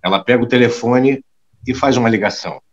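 A man's voice: medium pitch, fairly quick, muffled recording. A man reading scripted scene narration for an audio drama.

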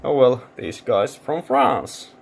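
A man's voice: medium pitch, lively intonation, takes it slowly, slight background noise. A man giving casual hands-on tech commentary, thinking aloud informally as he hosts a teardown video. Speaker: host of electronics teardown channel.

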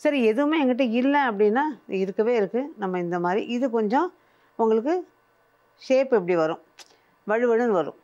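A woman's voice: a little high, lively intonation, moderate pace, a little mellow, lo-fi sound. An older woman presenting a cooking show, patiently explaining each step as she works in a studio kitchen.

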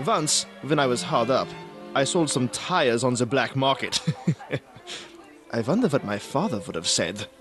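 An actor playing an evasive, courteous Viennese baron in a radio drama, with an Austrian German accent and a hesitant, ingratiating manner. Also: male voice, mid-range voice, animated, measured pace, music in the background, natural voice. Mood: evasively polite.

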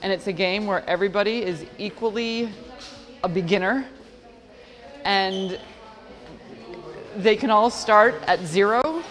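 A woman giving an interview about teaching children, speaking in a halting, thoughtful way. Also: mid-range voice, a bit bright, in a large hall.

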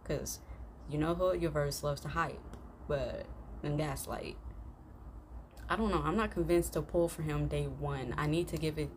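A young woman host giving casual, slangy, halting reaction commentary that often repeats itself. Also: sits low, steady tone. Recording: noisy room recording.

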